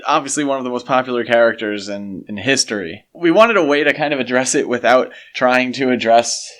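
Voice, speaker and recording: A man's voice: medium pitch, a little fast, fairly bright tone. Casual male podcast co-host.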